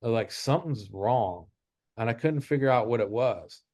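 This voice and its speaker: A man's voice: medium pitch, animated, normal speed, darkish tone, no room sound, studio quality. A man giving an informal lesson as an instructor, explaining step by step and repeating his rules. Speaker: trading instructor. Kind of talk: informal chart-based lesson.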